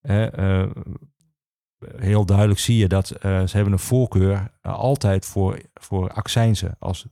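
Low, creaky man explaining history. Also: fairly even intonation, dark tone, no room sound, clean studio sound. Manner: halting, searching for words. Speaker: regional archivist and historian, interview guest. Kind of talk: explanatory conversation for a history podcast.

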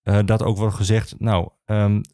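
A man the explains history informally as an expert guest, halting and repeating himself as he searches for words. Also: lowish voice, little pitch movement, warm tone, no room sound, clean studio sound. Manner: hesitant, halting, with many pauses and repetitions. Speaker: archivist, podcast guest.

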